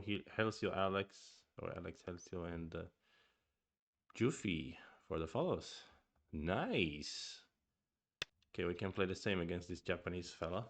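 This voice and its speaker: Low gravelly man reviewing go games. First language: Swedish. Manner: thinking aloud, restarts, murmured asides. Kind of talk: casual game review chat.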